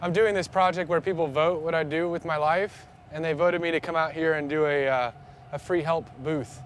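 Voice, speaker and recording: A man's voice: a little high, fairly even intonation, normal speed. A man in his twenties filming a vlog, joking and self-deprecating as he calls out to people on the street. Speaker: vlogger doing a public stunt. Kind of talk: casual self-filmed vlog. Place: indoors then on a busy city street. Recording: street noise behind the voice. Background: traffic and street noise.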